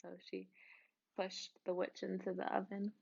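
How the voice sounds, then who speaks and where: A woman reading a children's storybook aloud in an expressive narrating style. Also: medium pitch, normal speed, gravelly, husky, highs cut off.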